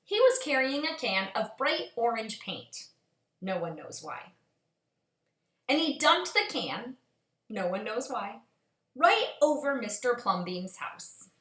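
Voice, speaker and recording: Animated woman reading a storybook aloud. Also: fairly high pitch, slow. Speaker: storyteller, teacher. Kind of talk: children's storybook read-aloud. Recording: echoey room.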